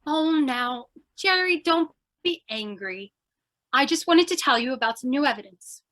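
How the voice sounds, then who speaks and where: Woman playing a high-voiced, flustered lady reporter. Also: expressive, normal speed, pushed and bright. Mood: coaxing. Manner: flustered, eager, theatrical. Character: plucky, scatterbrained young woman newspaper reporter. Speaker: voice actor. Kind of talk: old-time radio comedy-mystery acting.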